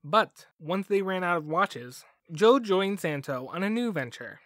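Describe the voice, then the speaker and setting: Higher-pitched man narrating a podcast. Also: animated, measured pace, a bit bright, no echo, studio quality. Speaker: podcast host. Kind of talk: scripted documentary narration.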